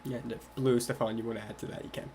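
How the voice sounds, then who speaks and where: A man's voice: medium pitch, flat delivery, fast talker, a little mellow, slight background noise. A man who is a little sick, hosting a casual livestream Q&A about his game project and talking in a loose, rambling way. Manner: loose and rambling, with many fillers.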